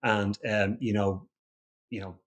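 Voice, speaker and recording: Irish man, relaxed, halting interview talk. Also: lowish voice. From Ireland. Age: middle-aged. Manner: frequent restarts and fillers, conversational. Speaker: podcast guest, company founder.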